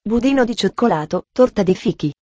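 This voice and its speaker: A woman's voice: mid-range voice, animated, brisk, a little mellow, slightly rough, dry recording, highs cut off. A woman voicing a strict middle-aged aunt who speaks in a dry, severe, commanding way, in a storybook reading. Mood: stern.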